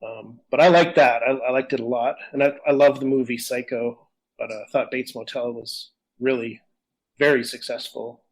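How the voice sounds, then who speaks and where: A man's voice: medium pitch, steady tone, normal speed, low-quality recording. A man co-hosting a podcast, talking casually in a rambling, opinionated way. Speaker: podcast co-host. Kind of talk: casual conversational discussion.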